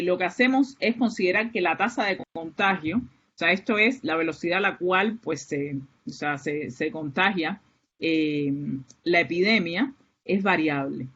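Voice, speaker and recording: A woman's voice: lowish voice, husky. A woman from Cuba with a Cuban Spanish accent, giving an academic seminar with many fillers and restarts. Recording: muffled, lo-fi online lecture audio.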